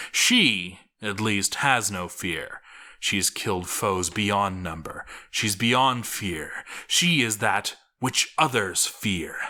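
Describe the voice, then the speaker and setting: A man narrates a story in a fairly low voice with a bright, forceful edge. His delivery is theatrical and deliberate, shaped for drama with well-placed pauses. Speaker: narrator reading a game story aloud.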